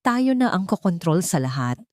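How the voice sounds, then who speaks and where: A woman's voice: on the low side, sing-song, normal speed, a little mellow, no echo, clean studio sound. A woman voicing a cold, scheming aunt with a calculating manner in an audio drama story. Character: cold, scheming aunt plotting a family takeover.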